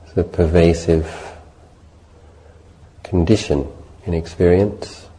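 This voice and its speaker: A man leading a guided meditation as a Buddhist teacher, speaking in a measured, gentle way with deliberate pauses between short phrases. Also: deep voice, fairly even intonation, low-quality recording.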